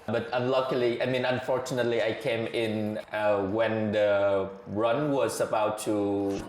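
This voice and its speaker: A man's voice: fairly low pitch, flat delivery, darkish tone. Man in his thirties, echoey room. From Vietnam. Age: 30s. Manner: measured, thoughtful, with frequent hesitations. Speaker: podcast guest. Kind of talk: casual interview chat.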